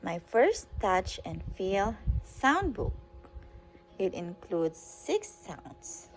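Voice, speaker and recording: A woman's voice: medium pitch, very animated, very slow, slight background noise, poor audio. A woman reading a children's book aloud as a mother to a young child, in a sing-song, coaxing way with simple questions. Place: at home.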